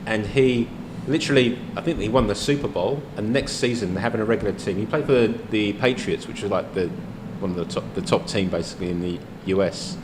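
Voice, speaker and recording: Low-voiced, rambling Australian man. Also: brisk, few pauses, background noise. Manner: rambling, hesitant, many restarts. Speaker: podcast co-host. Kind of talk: casual pub-style discussion.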